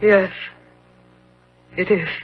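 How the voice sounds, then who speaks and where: A woman's voice: mid-range voice, fairly even intonation, very slow, husky, faint music behind. An actress playing a distraught, horror-struck young lady in melodramatic 1970s radio drama, on a dull recording. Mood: horrified.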